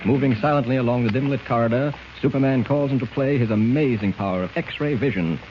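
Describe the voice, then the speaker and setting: A male announcer delivers dramatic serial narration with declamatory suspense. His mid-pitched voice comes through a dull, old radio recording with faint scratchy noise. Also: brisk.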